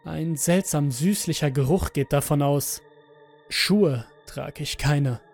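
Slow-speaking man narrating horror over music. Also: on the high side, gravelly, a little mellow. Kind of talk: scripted first-person horror audio story narration. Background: background music.

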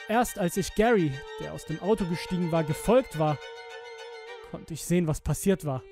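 A young man acting a guilt-ridden, haunted twenty-year-old who gives a halting, emotional confession in a horror audio drama. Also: a little high, lightly acted. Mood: bitter regret. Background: music with violin.

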